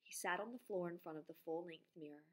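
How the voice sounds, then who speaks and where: A woman's voice: on the low side, fast talker. A woman teacher reading a children's story aloud expressively, drawing out key words and putting questions to her pupils.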